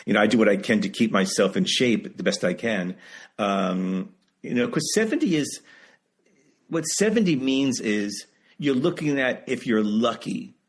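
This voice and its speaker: A man of seventy speaks as a guest in a candid interview. His voice sits fairly low and has a husky grain. He is emphatic, often repeating a phrase to stress it. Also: expressive, moderate pace. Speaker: guest, author and writing teacher. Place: video call.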